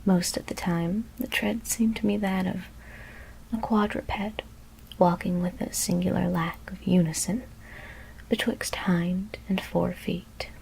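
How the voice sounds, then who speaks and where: A woman whispering a gothic horror story as ASMR narration, hushed and deliberate, with careful pauses. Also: on the low side, steady tone, vocal fry, slight background noise, rough recording.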